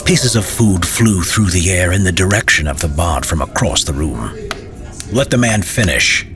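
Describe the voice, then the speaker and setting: Very deep-voiced male fantasy narrator. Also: lively intonation, music in the background. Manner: measured, dramatic storytelling. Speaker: storyteller, narrator. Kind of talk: scripted fantasy story narration.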